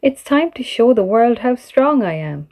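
A woman's voice: fairly high pitch, measured pace. A woman voicing a small, boastful, eager male strongman flea in a children's storybook. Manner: boastful, excitable. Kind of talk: children's storybook character reading.

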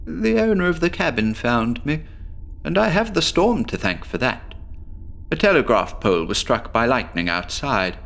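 A stammering, haunted patient. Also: male voice, medium pitch, big pitch swings, normal speed, vocal fry, fairly bright tone. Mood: frantic. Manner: stammering, frantic, confessional. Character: haunted male patient recounting horror, frantic. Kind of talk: audio drama monologue.

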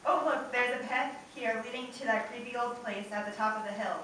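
Timid, proper female traveller played by a woman, high-pitched radio-play reading, noisy echoing room. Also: brisk, pushed and bright. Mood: uneasy. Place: open indoor studio tour space, group around stand mics. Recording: echoey room, distant microphone, clear background noise.

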